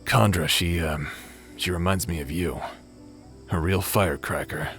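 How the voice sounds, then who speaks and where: A man's voice: low-pitched, fairly bright tone, faint music behind. A man playing a remorseful former space pirate captain and awkward brother in a dramatic sci-fi audio roleplay. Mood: tender, awkward. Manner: halting, sighing, hesitant delivery.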